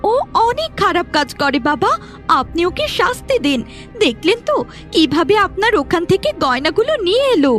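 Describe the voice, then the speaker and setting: A woman's voice: very high voice, sing-song, moderate pace, a bit bright, faint music behind, full character voice. A woman voicing a jealous, scheming young sister in a fairy-tale cartoon. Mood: accusing.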